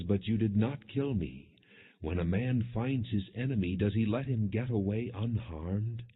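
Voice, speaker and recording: A man's voice: fairly low pitch, husky. A man voicing a humbled, fatherly older king, solemn and emotional, in a scripture audiobook. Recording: muffled, phone-like narrow band.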